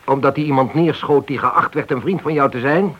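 An actor playing a suspicious, sarcastic police inspector with a curt, accusing manner in a radio play. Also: male voice, mid-range voice, brisk, close to an everyday voice. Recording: dull, slightly hissy old radio drama recording.

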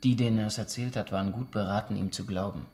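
An actor playing a guarded, proud military contractor under interrogation in a naturalistic radio drama, terse and evasive. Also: male voice, lowish voice, steady tone, quick pace, darkish tone, close to an everyday voice. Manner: terse, evasive answers, flaring into denial.

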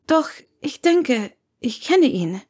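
A woman's voice: high voice, very animated, slow, darkish tone, slightly rough, pitched above the performer's own voice, full character voice. A woman voicing a reserved, weary young woman who is polite, hesitant and evasive, in an audiobook story.

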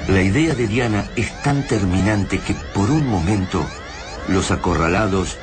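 A man with an Argentine accent playing a grave narrator of an alien invasion radio drama, speaking in a solemn, deliberate, ominous way. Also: low-pitched, over music.

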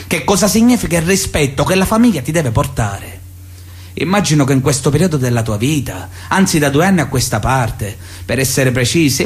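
An actor playing a pious, paternalistic Sicilian mafia elder, solemnly sermonizing with veiled menace in a radio drama letter. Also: male voice, mid-range voice, lightly acted. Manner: solemn, sermonizing, measured insistence. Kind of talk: theatrical radio drama monologue, letter read aloud.

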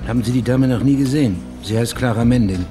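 A man's voice: medium pitch, fast, music in the background, close to an everyday voice. An actor playing a persistent, brooding former policeman in a radio drama, speaking tersely and matter-of-factly. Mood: probing.

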